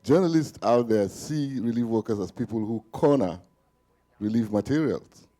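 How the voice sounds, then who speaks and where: A man's voice: mid-range voice, animated, moderate pace, dark tone. A man in the audience asking a panel a serious, explanatory question about journalists and relief workers. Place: conference room.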